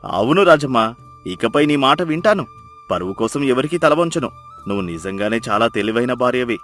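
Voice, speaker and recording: A man voicing a meek, grateful village husband in an animated moral story, earnest and admiring. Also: mid-range voice, normal speed, faint music behind. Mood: relieved, admiring. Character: meek, grateful village husband praising his clever wife.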